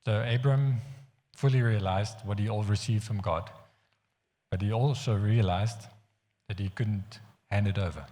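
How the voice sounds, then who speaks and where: Dutch male preacher, low mellow voice, earnest and halting, long church reverb. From Netherlands. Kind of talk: sermon. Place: church hall.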